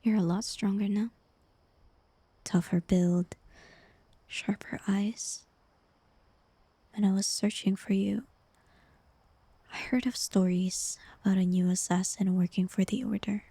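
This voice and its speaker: A woman playing a tender, heartbroken bard facing a former lover in an intimate fantasy ASMR roleplay, speaking softly and wistfully in a breathy near-whisper. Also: medium pitch, steady tone, dry recording. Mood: wary, sad. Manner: slow, gentle, wistful delivery with pauses.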